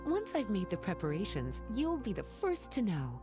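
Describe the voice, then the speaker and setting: A woman's voice: mid-range voice, huge pitch swings, normal speed. Teasing grown-up librarian lady, video game, languid n flirty, swoopy intonation, music behind. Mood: pleased. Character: teasing, unhurried adult woman librarian and researcher. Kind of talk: video game character acting.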